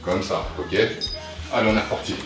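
A man's voice: fairly low pitch, fairly even intonation, fairly quick. A young man coaching a workout while exercising, cheering on with short, motivating instructions. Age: young adult. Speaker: fitness coach. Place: living room, indoors. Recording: very echoey room, distant mic, music behind. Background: clear music.

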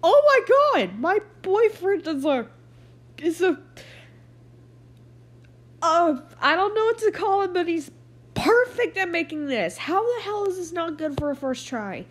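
A woman giving a casual, sweary reaction commentary and reading text aloud, sounding sniffly with a cold. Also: very high voice. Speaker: reaction video host.

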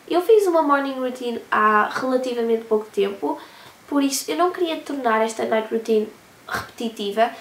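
Hoarse teenage girl with a Portuguese accent. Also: a little high, reverberant. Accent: European Portuguese. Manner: chatty, talks with her hands. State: hoarse from a cold. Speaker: vlogger. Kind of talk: casual vlog talk to camera. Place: bedroom, indoors.

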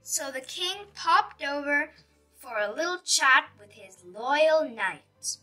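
A woman's voice: high voice, animated, slow, pushed and bright, recorded from a distance, faint music behind, low-quality recording. A woman reading a children's picture book aloud expressively, with dramatic storytelling emphasis.

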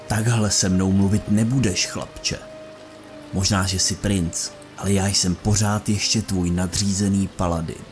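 A man's voice: deep voice, fairly even intonation, darkish tone, soft background music, close to an everyday voice. A man playing a stern senior paladin, an indignant mentor, in an amateur audio drama.

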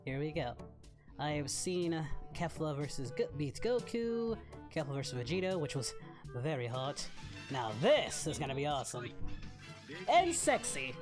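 Guy doing a cheeky horny anime fighter reacting to videos, high voice jumping all over, music behind. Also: moderate pace. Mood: upbeat, welcoming, then eager. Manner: excitable, bawdy, exclaiming. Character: excitable, lecherous, cheerful male Saiyan warrior from anime parody. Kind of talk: casual reaction commentary in character.

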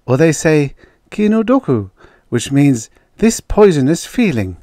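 A man voicing a quick-tempered woman academic who argues and lectures with emphatic conviction. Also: fairly high pitch, sing-song, mellow, no echo, studio quality, pitched above the performer's own voice. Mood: earnest lecturing.

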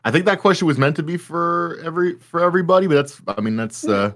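A man's voice: fairly high pitch, expressive, fast, fairly bright tone. A man on a panel using a dynamic microphone, mumbling and trailing off. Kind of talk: casual online panel chat.

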